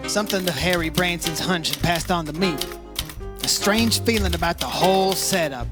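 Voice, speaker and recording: A man's voice: high-pitched, normal speed, music in the background, close to an everyday voice. A man playing a straight-talking, inquisitive insurance investigator in an old-time radio detective drama, in plain, clipped phrases. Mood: brooding narration.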